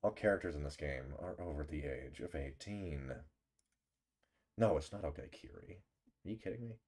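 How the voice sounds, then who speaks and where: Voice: rumbling low, normal speed, darkish tone. A livestreamer chatting casually while gaming and reading game text aloud, playful, rambling and mock-dramatic.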